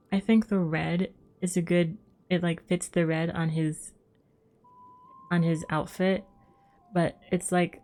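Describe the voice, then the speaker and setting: A woman's voice: lowish voice, dark tone. A woman livestreaming a game, chatting casually and musing aloud in a rambling way. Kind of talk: casual chat while gaming. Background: game music.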